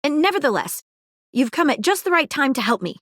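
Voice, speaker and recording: An earnest detective object in a dating game. She talks in formal, precise phrases with a lively, swinging pitch a little above the usual. The sound is close and dry. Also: brisk, no room sound. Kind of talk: game dialogue clips. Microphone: studio booth mic.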